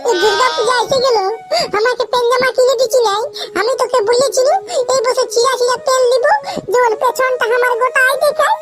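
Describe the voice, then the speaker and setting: An actor voicing a tearful, stubborn little village boy in a comic cartoon, with a Purulia Bengali accent, wailing and repeating complaints in a pitched-up voice. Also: fairly even intonation, fast talker. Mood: sobbing, pleading. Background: music.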